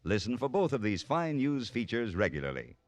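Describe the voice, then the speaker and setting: A man's voice: medium pitch, sing-song, fairly quick, soft background music. A man reading a scripted 1950s radio promotional announcement in a polished, formal, emphatic manner.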